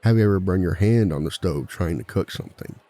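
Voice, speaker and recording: A man playing a suave villain who lectures like a seminar speaker, measured and wry, in a scripted audio roleplay. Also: dark tone. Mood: sly, instructive. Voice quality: very deep, gravelly. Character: suave male villain giving a dating seminar to fellow villains. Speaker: seminar speaker and relationship expert.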